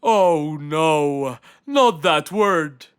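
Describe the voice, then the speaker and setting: Casting: performer as fretful royal male animal for kids' cartoon, plaintive, slow and dramatic, lively pitch. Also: clean tone. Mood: anxious, pleading. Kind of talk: children's cartoon character acting. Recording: music behind the voice.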